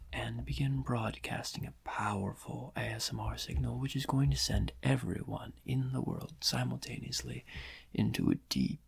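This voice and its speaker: A man playing a smug, theatrical criminal mastermind in a soft-spoken ASMR roleplay, breathy and husky, savouring his words with pauses. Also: mid-range voice, full character voice. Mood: scheming, gleeful. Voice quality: breathy, husky, often whispered. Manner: slow, savouring, gloating, with drawn-out pauses.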